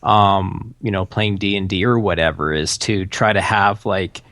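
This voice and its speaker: A man chatting casually on a podcast, relaxed and rambling as he muses aloud. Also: low voice, measured pace, dry recording.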